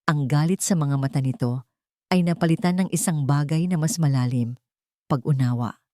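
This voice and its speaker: Low-voiced woman narrating a dramatic story. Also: normal speed, warm tone, no echo, studio quality.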